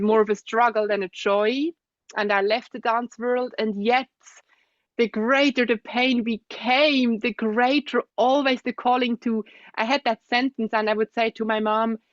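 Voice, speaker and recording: A young adult woman with a Swiss accent, a guest speaking thoughtfully in an interview, with soft laughs and hums of agreement. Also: a little high, no echo, dull audio. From Switzerland. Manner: thoughtful, soft-spoken, frequent gentle laughs and hums of assent. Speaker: guest, osteopath. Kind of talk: reflective interview conversation.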